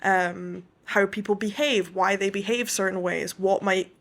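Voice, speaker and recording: A woman's voice: medium pitch, steady tone, measured pace. A young woman from the west coast of Scotland, an author telling her story as an interview guest, thoughtful and detailed.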